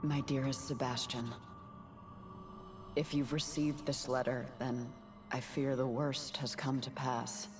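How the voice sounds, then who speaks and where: A woman playing a loving, sorrowful wife in a dramatic video game, speaking gently and gravely. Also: a bass voice, little pitch movement, over music. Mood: solemn, tender. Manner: tender, measured, solemn.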